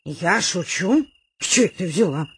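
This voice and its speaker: An actress playing an excitable, domineering old lady in a comic radio play, with a theatrical, bossy, exclaiming delivery. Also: lowish voice, unhurried, slight background noise. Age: older.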